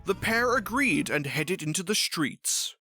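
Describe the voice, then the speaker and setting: A woman's voice: fairly low pitch, lively intonation, a little slow, sharp tone, faint music behind. A woman reading scripted storybook narration as the narrator.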